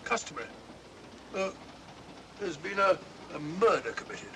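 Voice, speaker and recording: An actor playing a bumbling older English doctor, blustering and hesitant, in 1940s film style. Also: male voice, high-pitched, sing-song, moderate pace, sharp tone, full character voice. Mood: flustered. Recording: noisy old 1940s film soundtrack.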